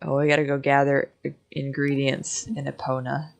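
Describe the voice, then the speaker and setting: A woman's voice: rumbling low, flat delivery, moderate pace, creaky. An American woman chatting casually as she hosts a game livestream, with a giggly, slangy, informal manner. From Michigan, United States. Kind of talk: casual livestream chat while gaming.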